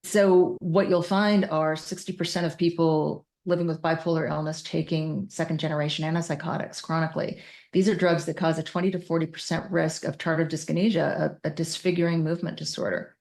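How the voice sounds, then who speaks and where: A woman's voice: low-pitched, level tone, a little fast, gravelly, darkish tone. A woman speaking as an interview guest, explaining her work as a clinician in an informal, emphatic, think-aloud way. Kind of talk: conversational interview answers explaining clinical practice.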